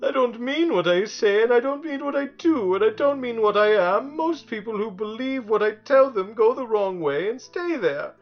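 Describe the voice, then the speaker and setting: A man's voice: fairly high pitch, sing-song, slow pace, lo-fi sound, faint music behind, pitched above the performer's own voice, full character voice. A man voicing a small furry demon from a storybook, a boastful but whiny fake monster with a theatrical, exclamatory manner. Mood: sobbing, hysterical.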